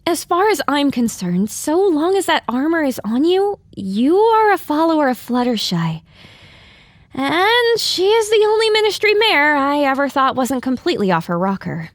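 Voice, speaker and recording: A high, very animated young mare played by a woman in an audio drama. She is a blunt, sardonic pony with a wry streak, recorded close and dry. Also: normal speed, no room sound. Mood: frank. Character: young female unicorn pony, sardonic, not a morning person.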